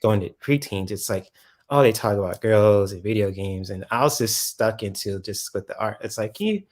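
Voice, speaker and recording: A man's voice: lowish voice, moderate pace, a little mellow. Rambling laid-back man in his thirties. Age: 30s. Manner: rambling, heavy fillers. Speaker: host. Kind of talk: casual livestream chat.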